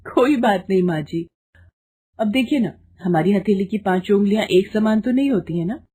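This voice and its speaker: A woman plays a sweet, dutiful young daughter-in-law in a storybook family drama. She speaks gently and respectfully, with a mellow, slightly husky tone. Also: medium pitch, animated, normal speed. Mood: soothing.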